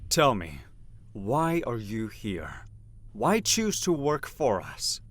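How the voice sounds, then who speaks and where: A man acting as a gruff, domineering, businesslike henchman of a crime syndicate in an audio drama. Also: lowish voice, huge pitch swings, slow, heavy background noise, heavily acted. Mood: brusque, commanding. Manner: blunt, domineering, no-nonsense.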